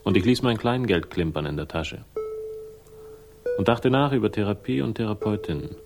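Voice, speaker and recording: Deep, gravelly, wry private investigator. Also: male voice, over music. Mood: brooding. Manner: deadpan, ironic, unhurried. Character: wry, laconic, hard-boiled male private investigator. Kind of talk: German radio crime drama, first-person noir narration and dialogue.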